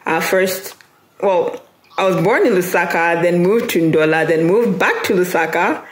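A woman's voice: on the low side, measured pace. A woman in her twenties from Zambia, a guest answering interview questions in a talkative, hesitant way with many fillers. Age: 20s. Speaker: guest, law student. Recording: echoey room.

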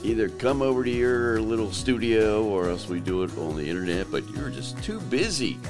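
A man hosting a podcast in casual, joking chat, his voice lowered by allergies. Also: animated, normal speed, over music. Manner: joking, teasing. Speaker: podcast host. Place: South Carolina.

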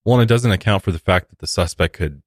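A man's voice: sits low, fast, no room sound, clean studio sound. A man co-hosting a podcast, giving casual conversational commentary. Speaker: podcast co-host.

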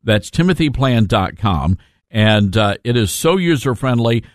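Man, talk radio host, animated intonation, dry close sound, sardonic, joking, emphatic, conversational commentary. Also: medium pitch, a little slow, no echo.

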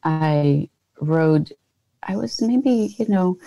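A woman in her fifties, from Poland, answers questions with a lowish voice. Her tone is mellow and soft-edged, and she talks earnestly, often restarting with "um" and "you know". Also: expressive, drawn-out words, creaky. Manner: earnest, with frequent fillers and restarts. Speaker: guest entrepreneur. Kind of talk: conversational interview.

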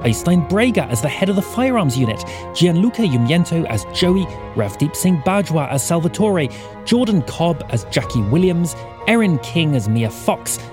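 A man's voice: fairly high pitch, very animated, moderate pace, over music. A man reading scripted announcements and credits as a podcast announcer. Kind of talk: scripted narration.

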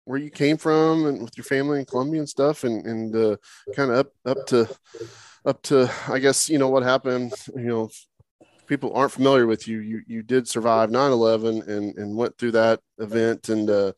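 A man hosts a relaxed chat show in a folksy, informal style. His voice sits at an average male pitch, and the sound is dry, with no room around it. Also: moderate pace, no echo. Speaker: show host. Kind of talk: casual chat interview.

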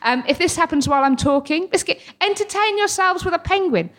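High, animated British woman with verbal tics. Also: a little fast. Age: 30s. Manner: playful, frequent involuntary verbal tics interrupting speech. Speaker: live storyteller and artist. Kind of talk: comic live storytelling to an audience. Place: live storytelling event with an audience.

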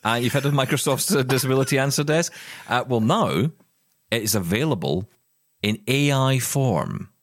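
A man's voice: mid-range voice, lively intonation, moderate pace, no room sound, clean studio sound. A Scottish man co-hosting a tech podcast, chatty and joking with plenty of fillers. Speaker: podcast co-host. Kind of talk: casual tech podcast chat.

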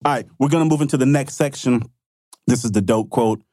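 A man's voice: mid-range voice, a little fast, dark tone, no room sound, clean studio sound. A man co-hosting a podcast, joking and teasing his guest in casual talk. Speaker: podcast co-host.

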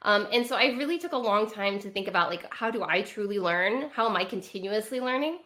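Casting: Californian woman, medium pitch, bright forward voice, educator talking reflectively in a podcast interview. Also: lively intonation, fairly quick. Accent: Californian American. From California, United States. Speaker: educator and author, podcast guest. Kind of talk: conversational interview answers.

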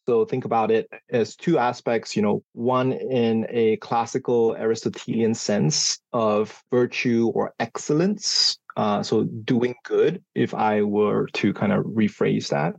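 A man from Singapore with a lowish, gravelly voice that has a husky edge. He answers interview questions thoughtfully, laughing softly and pausing on fillers. The sound is dry and close. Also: fairly even intonation, no echo, highs cut off. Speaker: psychology professor, guest.

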